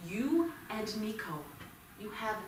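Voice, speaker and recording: A woman's voice: medium pitch, sing-song, takes it slowly. An actress playing a stern, worried mother of a teenage son in a staged play reading. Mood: grave, firm. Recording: echoey theatre, distant mic, clear noise.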